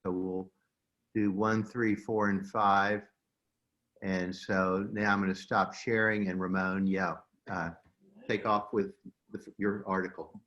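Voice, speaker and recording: A man moderating a casual group discussion on a video call, laying out the order of speakers in a brisk, organizing way. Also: sits low, little pitch movement, fairly bright tone, muffled recording.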